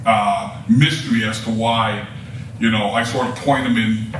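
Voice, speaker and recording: A low-pitched man speaks in an affirming, reflective way. He is far from the microphone in a strongly echoing room, with noticeable noise behind. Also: fairly even intonation, a little slow. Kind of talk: conversational discussion. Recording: echoey room, distant mic, noisy.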